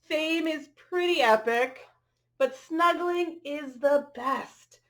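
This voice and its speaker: A woman's voice: high-pitched, slow. A woman reading a children's picture book aloud expressively, adding chatty asides and drawing listeners in with questions and exclamations.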